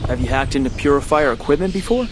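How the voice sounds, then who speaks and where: An actor playing a young, eager rookie mutant hero in a video game, speaking in a casual, plain way. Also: male voice, a little high, quick pace, background noise, lightly acted. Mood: eager, curious. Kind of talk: video game cutscene acting.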